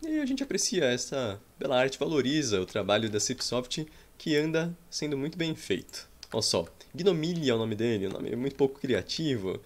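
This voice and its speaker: Playful man narrating a game. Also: medium pitch. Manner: playful, makes "pá pá" combat sound effects. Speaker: gaming YouTuber. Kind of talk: casual game commentary with roleplay. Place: small room at home.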